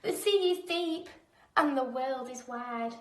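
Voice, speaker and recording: Woman voicing a tiny eager snail, high-pitched, echoey. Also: slow pace, a bit bright. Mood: wistful. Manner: eager, earnest, exclamatory. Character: tiny eager female sea snail, longing for adventure. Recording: roomy, echoing indoor sound.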